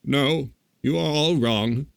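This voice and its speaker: A timid old humbug of a wizard , acted out by a male storybook reader, with a trembling, pleading voice. His pitch swings about expressively, and the recording is close and dry. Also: lively intonation, moderate pace, fairly bright tone, dry recording. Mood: meek, hushed.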